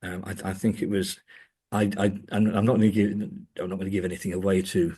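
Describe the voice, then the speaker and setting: A man with a very low, husky voice talks as an academic guest in an interview. He picks his way through long, discursive answers, halting often as he goes. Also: level tone, dark tone.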